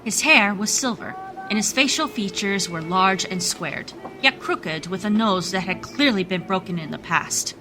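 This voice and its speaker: A woman's voice: mid-range voice, expressive, normal speed, noisy background. A woman narrator reading scripted storytelling narration for a radio play.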